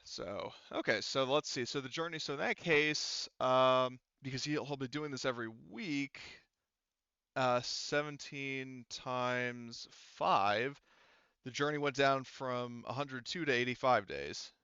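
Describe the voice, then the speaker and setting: A man improvises narration for a tabletop game. His voice is bright and smooth, though he often stalls to think aloud. The recording is dry and slightly muffled. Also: medium pitch, measured pace, dry recording. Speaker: game master.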